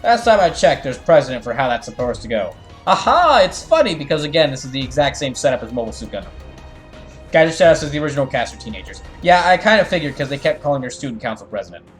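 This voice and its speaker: A man's voice: mid-range voice, sing-song, quick pace, soft background music. A man hosting a livestream, chatting casually with viewers and explaining things in a rambling way, often restarting his sentences. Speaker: streamer and host of a live fandub. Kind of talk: casual livestream commentary, chatting with viewers and reading game dialogue.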